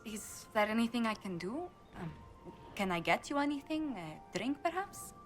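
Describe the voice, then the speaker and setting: An actress playing a composed, diplomatic noblewoman ambassador in a video game, speaking politely and formally, with measured firmness. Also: a little high, very animated, soft background music. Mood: gentle concern. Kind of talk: video game cutscene acting.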